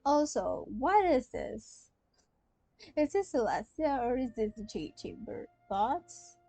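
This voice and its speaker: Young lady streaming a game, husky, lively highish voice, casual chatter, faint music. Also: slow, dry recording. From Malaysia. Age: young adult. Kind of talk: casual live-stream commentary.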